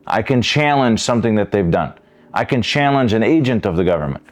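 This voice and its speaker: A man's voice: lowish voice, lively intonation, brisk. A man from Detroit with an American accent, talking conversationally as a podcast host. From Detroit, United States. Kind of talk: casual conversational discussion.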